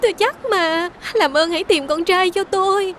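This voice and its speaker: A woman's voice: very high-pitched, normal speed, fairly bright tone, slight background noise. A woman voicing a young mother who is grateful and relieved to find her son.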